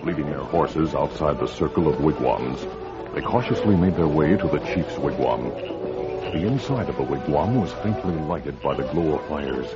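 A man's voice: a bass voice, expressive, normal speed. A man narrating a western adventure in a dramatic, scripted 1940s radio style. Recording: muffled old radio recording with music.

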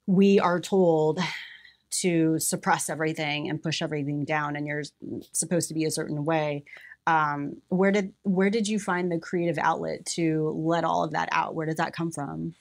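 A woman with a slightly low voice hosts an interview. She sounds engaged and encouraging. She keeps the talk moving with follow-up questions. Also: fairly even intonation, normal speed. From Mexico, Missouri. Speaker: interviewer, host. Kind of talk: conversational interview.

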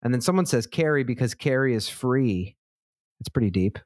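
A man co-hosting a podcast in casual chat, relaxed and swearing freely as he reads out listener responses. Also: mid-range voice, normal speed, a little hiss or hum. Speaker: podcast co-host. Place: lives in a big city.

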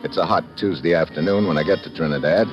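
A very low-pitched, hard-boiled American agent from an old radio thriller. He is wry and wisecracking, narrating his own adventure on a vintage recording with music. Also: fast talker, muffled recording. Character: wisecracking, two-fisted male government agent. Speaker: lead actor and first-person narrator. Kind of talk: 1950s radio drama acting.

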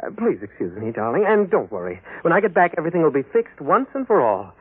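Smooth sneaky fake husband, lively highish voice, old tinny radio drama. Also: male voice. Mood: guarded, menacing. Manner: suave, hesitant pauses, quietly calculating. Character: smooth-talking, scheming robot double posing as a loving husband. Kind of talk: classic radio drama acting.

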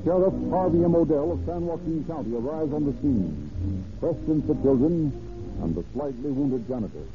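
An actor playing a grave American narrator of an old-time radio crime drama. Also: male voice, on the high side, lively intonation, moderate pace, phone-quality sound, lightly acted. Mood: grave, dramatic. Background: music.

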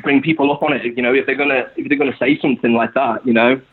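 A man's voice: mid-range voice, steady tone, racing, breathy edge. A young adult man with a Scouse accent from Liverpool, talking casually as a guest and rambling with lots of "you know" fillers. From Liverpool, England. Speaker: guest supporter. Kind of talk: casual podcast conversation. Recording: tinny phone-like line.

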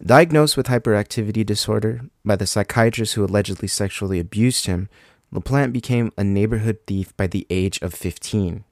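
Young male true crime podcast host, under the weather, casual and halting, lowish voice, dry close mic. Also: dry recording. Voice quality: deeper than usual from illness. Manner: casual, with ums and likes. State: sick with a cold.

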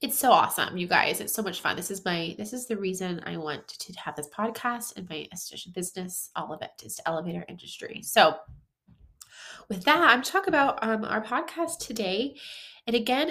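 A woman's voice: medium pitch, lively intonation, fairly quick, pushed and bright. A woman hosting a solo podcast who sounds nasal and stuffed up from a head cold. She talks in a chatty, upbeat way, coaching her listeners directly with casual fillers and restarts. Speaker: podcast host.

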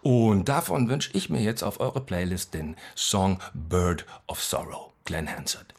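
A man's voice: low-pitched, sing-song, unhurried, creaky. A man talking casually as a guest on a podcast. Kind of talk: casual chat.

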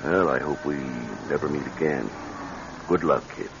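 A male actor playing a gruff, fatherly prison warden in a radio drama. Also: a bass voice, fairly even intonation, normal speed. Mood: gruff well-wishing. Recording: dull vintage radio, faint noise.

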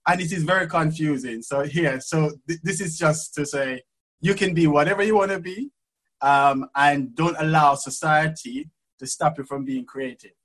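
A man from Jamaica with a Jamaican accent, a guest in an interview who speaks hesitantly with many pauses and laughs easily. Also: a little high, a bit bright, poor audio. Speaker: guest florist. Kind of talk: casual interview chat.

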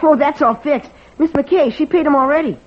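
A young boy acted by a woman in a 1940s radio drama. The voice is high and boyish, folksy and full of earnest appeal. The old recording sounds a little dull. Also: moderate pace, close to an everyday voice. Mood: eager. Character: eager, pleading young orphan boy.

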